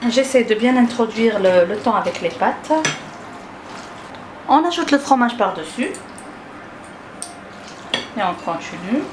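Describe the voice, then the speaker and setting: A woman from Algeria whose first language is Arabic. She speaks French with an Algerian accent, hosts a cooking channel and walks through a recipe step by step in a gentle, hesitant way with many filler sounds. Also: mid-range voice, lively intonation, measured pace, pauses often, background noise.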